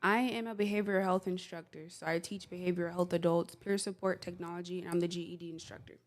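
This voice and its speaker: A woman answering rapid-fire questions as a podcast guest, playful and quick to laugh. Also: on the low side, steady tone. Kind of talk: casual interview chat.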